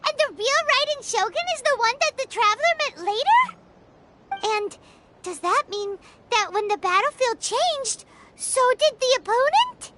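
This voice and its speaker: A woman's voice: very high voice, expressive, moderate pace, very noisy. An actress playing a tiny, childlike fairy girl companion in a video game, chirpy, earnest and naive. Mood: puzzled. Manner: chirpy, refers to herself in third person. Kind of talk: video game character dialogue. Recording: loud game music behind the voice.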